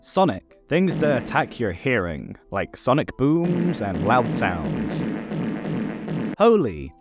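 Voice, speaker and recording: A man presenting a tabletop game rules guide in a methodical, scripted explainer style. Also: mid-range voice. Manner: clear, methodical instructional delivery. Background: background music.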